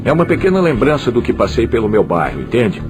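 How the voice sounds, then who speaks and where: A man's voice: mid-range voice, very animated, quick pace, over music, natural voice. A man dubbing a proud adult former gang member, talking in casual street style with frequent tag questions. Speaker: dubbed interviewee. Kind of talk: documentary dub voice-over.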